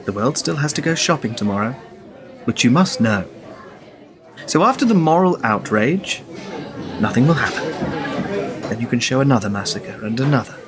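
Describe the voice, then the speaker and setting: A man's voice: mid-range voice, lively intonation, moderate pace, pauses often, natural voice. Actor as a jaded, sardonic man, crowd behind. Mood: weary, challenging. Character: jaded, sceptical male would-be leaker of secrets. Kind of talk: radio play acting.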